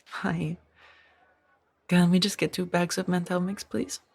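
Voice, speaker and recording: A woman acting in a soft-spoken ASMR roleplay as a kind, conflicted former warrior monk turned farmer, hesitant and earnest. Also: on the low side, steady tone, unhurried, gravelly, breathy edge, full character voice. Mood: warm, bashful.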